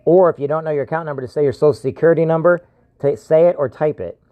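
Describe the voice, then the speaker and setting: A man's voice: fairly high pitch, fast talker, narrow, tinny audio. An American man talking casually in a scam-warning video as an identity theft protection seller, insistent, plain-spoken and given to repeating himself. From United States. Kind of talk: casual warning talk to viewers and on phone.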